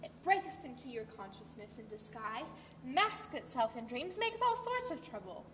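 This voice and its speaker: Woman acting high-voiced, superior, earnest wife, echoey hall. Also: very animated, normal speed, sharp tone. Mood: earnest lecturing. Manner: lecturing, insistent, condescending. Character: earnest, superior wife zealous about psychoanalysis. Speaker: amateur actor. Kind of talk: amateur stage-play rehearsal. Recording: distant mic, echoey hall, clearly noisy.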